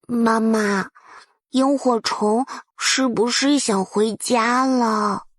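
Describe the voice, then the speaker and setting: A woman's voice: on the high side, steady tone, very slow, no room sound, studio quality. A woman voicing a young, curious, eager puppy in a children's storybook, with a cute childlike voice and wide-eyed, earnest phrasing.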